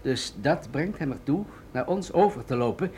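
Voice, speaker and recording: An actor in a radio drama playing an authoritative military general, grave and deliberate as he explains things. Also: fairly high pitch, lively intonation, darkish tone, a little hiss or hum, close to an everyday voice. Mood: earnest, persuasive.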